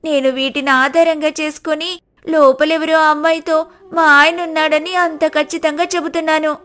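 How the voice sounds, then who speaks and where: A woman voicing a silly, melodramatic village wife who wails and complains loudly. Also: high voice, little pitch movement, moderate pace. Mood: complaining. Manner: wailing, melodramatic.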